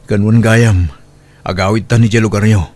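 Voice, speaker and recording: A man's voice: lowish voice, fairly even intonation, moderate pace, a little mellow, natural voice. An actor with an Ilocano Filipino accent playing a hard-working, apologetic young husband and father in an audio drama. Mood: earnest. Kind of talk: dramatic radio-style drama acting in Ilocano.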